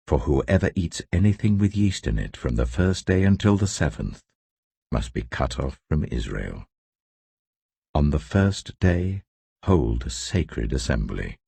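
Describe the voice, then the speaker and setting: A man giving a scripted, solemn and deliberate reading of scripture as a narrator, with emphatic phrasing. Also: extremely low pitch, creaky, warm tone, slightly rough, no room sound. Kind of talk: scripted audio Bible reading.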